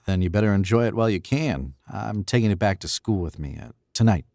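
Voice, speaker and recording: A man voicing a cocky young man with a low voice and a breezy, glib delivery. His smugness runs through every line. The recording is close and dry. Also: animated, a little fast, a little mellow, dry recording. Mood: smug. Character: smug, cocky young man hosting a party. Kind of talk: old-time radio drama acting.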